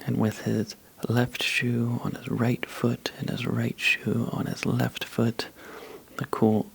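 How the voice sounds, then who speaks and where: A man telling a sleepy ASMR bedtime story in a soft, breathy half-whisper, halting and drowsy, with long pauses. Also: medium pitch, completely flat delivery.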